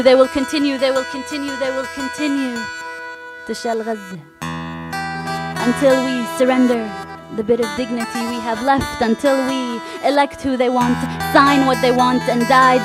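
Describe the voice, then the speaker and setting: Arabic-accented woman performing spoken word poetry. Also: a little high. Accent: Arabic-accented English. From Palestinian family, refugee camp. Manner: rhythmic, repetitive, builds to fierce intensity. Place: live concert stage.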